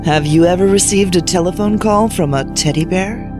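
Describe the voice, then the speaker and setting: A woman's voice: lowish voice, moderate pace, natural voice. A woman playing the wry, mysterious narrator of a strange-tales audio anthology, in scripted storytelling style. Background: music.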